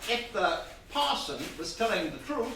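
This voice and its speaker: An actor playing an eager, sardonic police sergeant in a stage radio drama, emphatic and excitable. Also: male voice, sits high, moderate pace. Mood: eager, wry. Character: eager, cynical police sergeant, deferring to his inspector. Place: theatre stage, live performance. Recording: very echoey hall, distant mic, faint noise.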